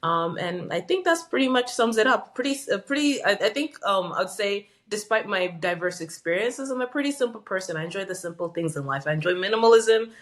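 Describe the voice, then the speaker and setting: A woman's voice: mid-range voice, lively intonation, fairly quick, hardly any pauses. A middle-aged woman from Western Canada, a physician and podcast guest, talks in a reflective, conversational way with many fillers and restarts. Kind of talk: casual interview conversation.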